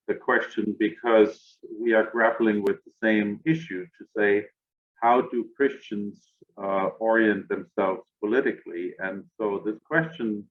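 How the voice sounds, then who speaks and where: This is an older man with a clear German accent, speaking slowly in a low register. He answers reflectively and often stops to gather his thoughts. His voice comes through a narrow, phone-like line. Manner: measured, thoughtful, with frequent "uh" and "um" pauses. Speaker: guest, academy director.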